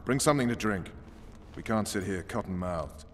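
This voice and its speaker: An actor playing a stern, world-weary special forces commander in a video game, clipped, commanding and menacing. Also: male voice, lowish voice, lively intonation, moderate pace, long reverb tail, a little hiss or hum, close to an everyday voice. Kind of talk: dramatic video game acting.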